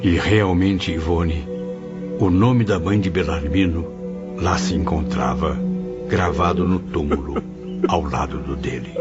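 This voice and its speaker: A man playing a spirit who narrates and reasons consolingly with a friend, theatrical and measured, in a dramatized audiobook. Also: low-pitched. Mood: solemn. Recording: dull lo-fi audio with music behind.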